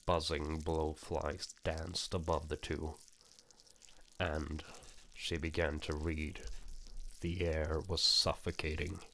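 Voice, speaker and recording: Very deep, grim horror narrator played by a man. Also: little pitch movement, sharp tone, slight background noise, full character voice. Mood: grim, ominous. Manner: deliberate, brooding, dramatic. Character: grim, ominous horror visual-novel narrator.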